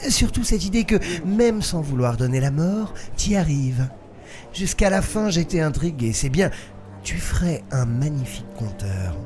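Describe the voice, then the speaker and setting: A man's voice: fairly high pitch, sing-song. A man playing a mocking, theatrical crime boss in an audio drama, drawing out his sarcasm. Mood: mocking amusement. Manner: mocking, drawn-out, sarcastic delivery. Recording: music behind the voice.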